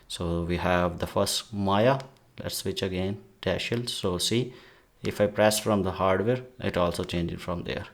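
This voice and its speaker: A man giving a casual, somewhat halting product demo as a gadget reviewer. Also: low voice.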